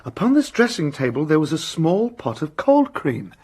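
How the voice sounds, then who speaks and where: A man's voice: fairly high pitch, big pitch swings, normal speed, darkish tone, breathy edge. An actor playing an eager, dutiful young policeman reporting respectfully to his superior. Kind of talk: radio drama acting.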